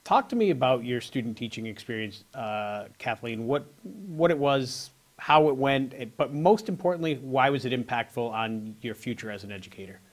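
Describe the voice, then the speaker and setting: A man's voice: mid-range voice, animated, normal speed, hardly any pauses. An American man hosting a TV interview show in an informal, encouraging way. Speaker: show host, school superintendent.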